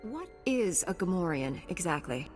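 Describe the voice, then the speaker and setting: A woman's voice: lowish voice, big pitch swings, normal speed, darkish tone, long reverb tail. A woman playing a composed, direct heroic knight in a video game. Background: music.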